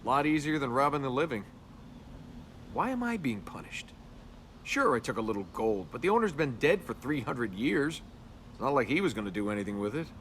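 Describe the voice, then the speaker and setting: Cocky adventurer, animated delivery. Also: male voice, mid-range voice, brisk, slight background noise. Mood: flippant, boastful. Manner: sarcastic, flippant, teasing. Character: cocky, wisecracking young male adventurer and tomb robber. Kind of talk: video game voice acting, conversational banter.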